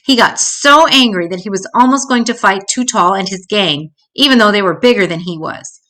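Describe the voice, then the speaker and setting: A woman reads a children's storybook aloud. Her voice is bright and forward, at a middling pitch, and she tells the tale expressively, as if for young listeners. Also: normal speed. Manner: acts out dialogue with changing pitch.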